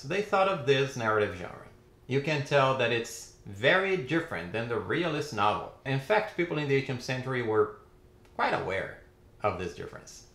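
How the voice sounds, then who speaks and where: Lively man lecturing with animated pitch. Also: reverberant, a little hiss or hum. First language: Portuguese. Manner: lively, expressive, gestures with hands. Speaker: literature lecturer. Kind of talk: video lecture. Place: home study with bookshelves. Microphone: camera framing, chest-up.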